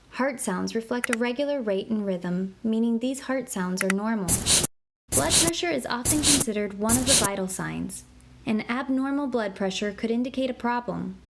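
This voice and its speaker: Woman reading a children's book. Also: on the high side. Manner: clear, explanatory, slow on medical terms. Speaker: narrator of an interactive children's book. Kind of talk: scripted read-aloud narration for kids. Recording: clear background noise.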